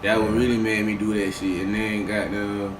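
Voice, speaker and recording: A man's voice: on the low side, flat delivery, moderate pace, far from the mic, background noise. A young man, a rapper, talking casually in an interview with loose, profane street slang. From grew up in the Bahamas, born in Atlanta. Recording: echoey room with music behind. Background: hip hop beat.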